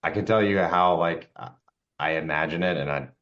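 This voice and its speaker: Very deep-voiced man, muffled recording. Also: a bit bright. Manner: halting, rambling, self-correcting. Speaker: podcast co-host and tabletop player. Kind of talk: casual chat giving game feedback.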